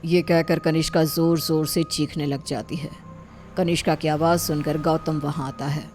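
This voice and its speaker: A woman's voice: deep voice, little pitch movement, normal speed, a little mellow, soft background music. A woman narrating a scripted cartoon horror story.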